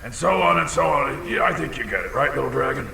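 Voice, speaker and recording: A huge old dragon, gravelly and forceful, booming through a cavernous echo. He is boastful and easily wounded, rambling like a chatty theatrical star and swinging into bellows, with big slides in pitch. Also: expressive, quick pace, full character voice. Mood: earnest, rambling. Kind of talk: audio drama acting. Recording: cavernous echo with long reverb, faint noise.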